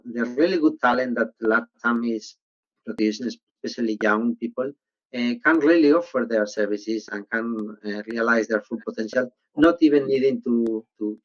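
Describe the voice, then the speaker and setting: A man from Spain with a Spanish accent, speaking conversationally as a panelist and haltingly searching for words. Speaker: panelist, banking technology executive. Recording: muffled low-fidelity online call audio.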